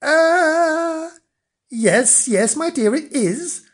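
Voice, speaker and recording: A man's voice: high voice, big pitch swings, unhurried, breathy edge, exaggerated voice. A man voicing a timid, flustered henpecked husband in a children's story, hesitant and apologetic as he stammers. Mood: nervous, evasive.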